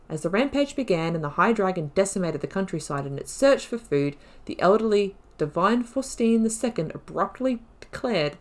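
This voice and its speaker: A woman hosting a gaming video, reading lore aloud and adding informal, self-correcting asides. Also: lowish voice. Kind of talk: reading game lore aloud with casual commentary.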